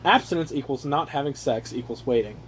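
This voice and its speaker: A man casually reading aloud and riffing on the text with sarcastic, profane, animated asides, on an amateur home recording. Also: mid-range voice, brisk, slight background noise. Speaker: reader riffing on a handbook aloud to his brothers. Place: casual room with brothers chiming in.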